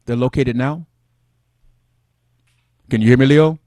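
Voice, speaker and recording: A middle-aged man hosting a casual talk show, soft-spoken and rambling, who addresses his viewers as family. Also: medium pitch, a little slow, dry recording. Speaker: host.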